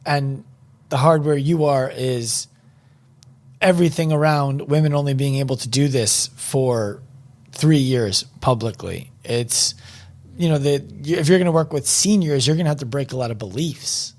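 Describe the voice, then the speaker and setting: A man's voice: mid-range voice, normal speed, darkish tone. A man hosting a podcast interview, asking questions in an encouraging way and thinking aloud with restarts. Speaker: podcast host. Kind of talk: casual interview conversation.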